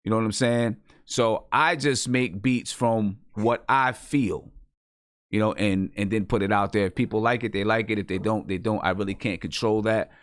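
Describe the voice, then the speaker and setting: A man's voice: on the low side, fairly even intonation, normal speed, smooth, dry recording, studio quality. A man hosting a music show, talking casually as a beat maker and repeating his phrases for emphasis. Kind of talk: casual chat about music.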